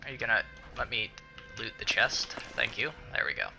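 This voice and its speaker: A man's voice: medium pitch, very animated, normal speed, creaky. A man hosting a casual let's play, muttering, swearing and talking to the game's characters. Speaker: gaming video host. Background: game music.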